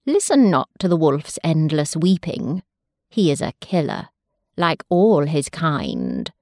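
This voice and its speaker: A woman's voice: deep voice, huge pitch swings, takes it slowly, dark tone, dry recording, clean studio sound, voice pushed down, full character voice. A woman voicing stern mother sparrows who scold their young sharply. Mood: scornful.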